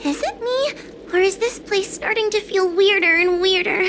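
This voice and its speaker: Very high-pitched timid young girl. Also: normal speed, noisy background. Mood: scared, pleading. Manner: nervous, meek, pleading. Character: timid, easily frightened young girl exorcist. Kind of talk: video game voice acting. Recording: loud music behind the voice. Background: game music.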